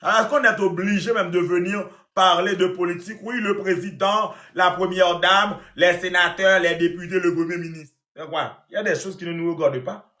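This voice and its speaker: A man's voice: sits high, fairly even intonation, normal speed, sharp tone, echoey room. A Haitian-born man talking straight to his viewers in a scolding monologue, repeating himself for emphasis. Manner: scolding, repetitive, addresses the audience directly. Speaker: online commentator.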